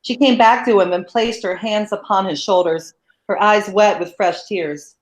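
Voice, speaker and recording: A woman narrating a novel in a steady, measured read-aloud. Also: medium pitch. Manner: steady, even, measured reading. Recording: roomy echo.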